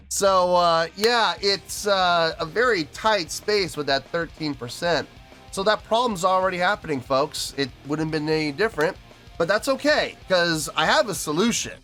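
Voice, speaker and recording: Emphatic higher-pitched man hosting a review. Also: bright, forceful tone. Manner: emphatic, repeats phrases for stress. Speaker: host of music reaction and review videos. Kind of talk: casual spoken review to camera, addressing viewers.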